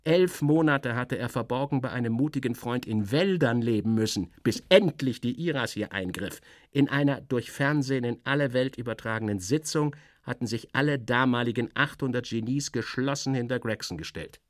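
A man giving a theatrical first-person reading of a literary satire, wry and animated, with dramatic pauses. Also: mid-range voice, dry recording, clean studio sound.

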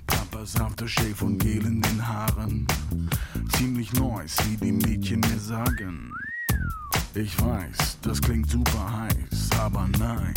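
A man's voice: lowish voice, takes it slowly. A man playing a laid-back, boastful cool dude in a funk song, talk-singing rhythmically over hip hop music.

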